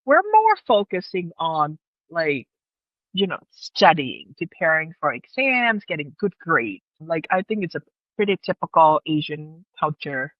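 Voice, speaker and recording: A woman's voice: deep voice, very animated, unhurried, no echo, dull audio. A woman from Thailand with a Thai accent, telling personal stories casually as an interview guest in her second language.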